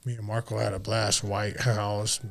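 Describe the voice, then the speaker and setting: A man's voice: lowish voice, little pitch movement, normal speed, gravelly, a bit bright. An American man in his late thirties chatting casually as a fighter on a podcast, slangy and swearing freely. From Utah, United States. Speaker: MMA fighter, podcast guest. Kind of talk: casual podcast interview chat.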